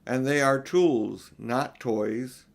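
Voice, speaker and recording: A man playing a big, solemn, kindly old Father Christmas, speaking in measured, emphatic pronouncements for a storybook. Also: medium pitch, gravelly. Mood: grave.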